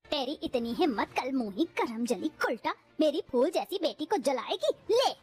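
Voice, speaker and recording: A woman's voice: high voice, sing-song, moderate pace, darkish tone, soft background music. A woman voicing a cruel, domineering mother-in-law in a cartoon who scolds and taunts. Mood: scolding.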